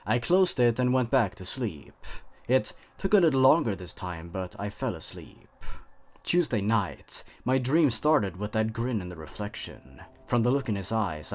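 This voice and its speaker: A man narrating scripted horror stories in a suspenseful, theatrical way. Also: fairly low pitch.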